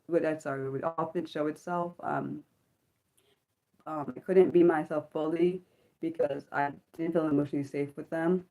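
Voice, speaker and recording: A woman in her thirties hosting a personal livestream from home. She speaks haltingly, with many ums and apologetic self-corrections, and her voice sounds slightly sick. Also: deep voice, warm tone, rough recording. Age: 30s. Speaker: livestream host. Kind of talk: personal reflective livestream talk.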